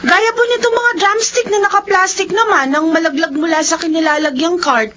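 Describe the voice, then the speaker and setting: A woman's voice: very high voice, normal speed, bright, forceful tone. A woman playing an earnest, polite young granddaughter with a Filipino accent in a radio drama. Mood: explaining. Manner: polite, explanatory. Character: dutiful, earnest young granddaughter, fast-food crew worker. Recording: slightly dull radio drama recording.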